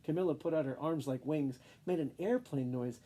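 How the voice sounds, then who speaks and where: A man reading a children's picture book aloud in an expressive storytelling style. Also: a little high, moderate pace.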